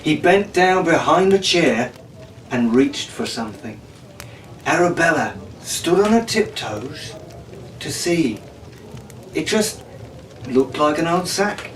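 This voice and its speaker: A man's voice: a little high, lively intonation, slow, long pauses. A man narrating an adventure story in a dramatic, scripted audiobook style. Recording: roomy, distant mic, faint noise.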